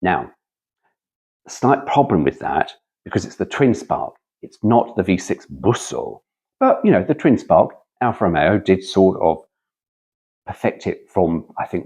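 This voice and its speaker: A man's voice: sits low, very animated, moderate pace, a little mellow, slightly rough. A man reading aloud casually as a host, breaking off for chatty, wry, digressive asides.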